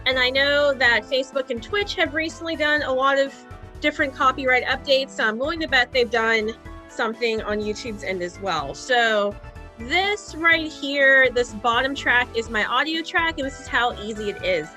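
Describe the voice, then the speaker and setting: High-pitched, bright woman giving a casual tutorial. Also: normal speed, soft background music. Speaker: host of a video editing tutorial.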